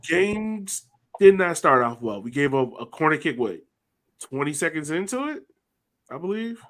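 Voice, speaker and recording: A man's voice: on the high side, very animated, normal speed, fairly bright tone. A man hosting a sports podcast in a loose, joking way, with swearing and lots of "like" and "um". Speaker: podcast host. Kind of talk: casual sports podcast chat.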